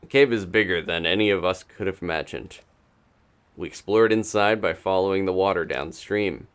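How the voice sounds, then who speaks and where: A man's voice: sits low, normal speed, clean tone, lightly acted. A man reading aloud an upbeat construction worker's field report from a video game, in a storytelling way. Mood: matter-of-fact, upbeat.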